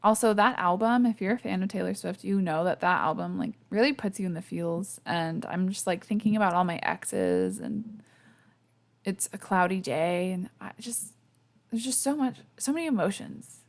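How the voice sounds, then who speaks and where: A woman's voice: lowish voice, expressive, normal speed. A young woman hosting her own podcast in a casual, chatty, self-deprecating way, sleepy and losing her voice, laughing often between lots of fillers. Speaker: podcast host. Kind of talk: casual solo podcast chat. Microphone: podcast microphone.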